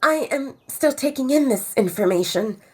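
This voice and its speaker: A woman's voice: high-pitched, expressive, normal speed, husky, voice pushed up. A woman voicing a guarded young bride-to-be. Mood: restrained. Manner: tight, restrained replies.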